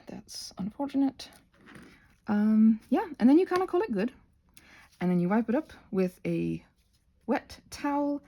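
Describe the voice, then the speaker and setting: Rambling woman with very lively, swooping pitch. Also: gravelly. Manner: scattered, self-interrupting, trailing off into asides. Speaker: host of a craft tutorial channel. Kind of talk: rambling, informal hands-on craft tutorial.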